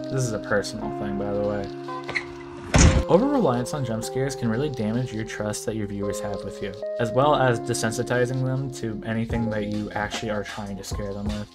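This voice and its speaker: Opinionated young man over background music. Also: medium pitch. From United States. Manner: opinionated, emphatic, at times exasperated. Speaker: video essay creator. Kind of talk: opinionated commentary narration.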